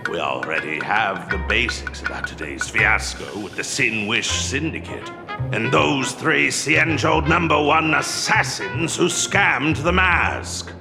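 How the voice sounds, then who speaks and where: An actor playing a pompous, melodramatic detective's assistant who doubles as a noir narrator in a video game, with a creaky, deliberate delivery. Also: male voice, medium pitch, long reverb tail, over music. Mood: businesslike.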